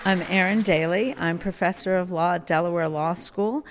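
A woman from the United States, a law professor, introducing herself and answering calmly. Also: fairly low pitch, moderate pace, a crowd in the background, noisy background. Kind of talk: interview answer.

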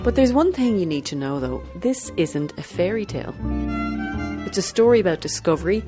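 A woman narrating a radio documentary in a measured, lightly amused storytelling manner. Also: on the low side, very animated. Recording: music bed under voice.

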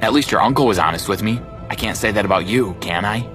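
A man's voice: on the low side, fast talker, fairly bright tone, soft background music, natural voice. An actor playing a bitter, wounded young man who narrates his own thriller dramatically in the first person. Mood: cold, bitter.

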